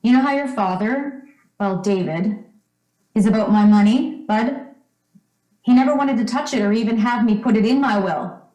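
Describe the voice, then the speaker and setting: A woman's voice: on the high side, normal speed, dark tone, lightly acted. A woman playing a doting, trusting, well-off mother in an old-time radio drama, speaking in a fond, fussing, chatty way. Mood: earnest, confiding. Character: doting, trusting, wealthy mother of a teenage son. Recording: roomy, echoing stage-like sound.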